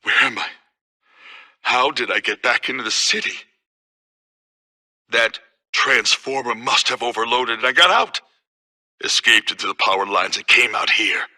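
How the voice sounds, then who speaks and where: A man's voice: medium pitch, unhurried, pauses often, sharp tone. A man playing a confused, angry escaped supervillain in a video game.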